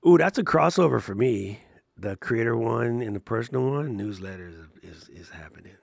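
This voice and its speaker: A man's voice: medium pitch, moderate pace, creaky, no echo, studio quality. A man co-hosting a podcast, chatting casually and playfully with jokes. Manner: joking, playful, animated bursts. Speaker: podcast co-host. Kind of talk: casual live podcast chat.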